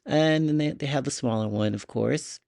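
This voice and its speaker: Male vlog host, medium pitch with gravelly creak, casual running commentary, close and dry sound. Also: moderate pace, darkish tone, dry recording. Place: inside a retail store.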